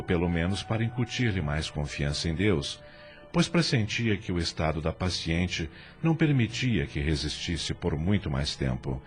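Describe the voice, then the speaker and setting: A man with a Brazilian accent giving formal, measured scripted narration for an audio drama. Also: low-pitched.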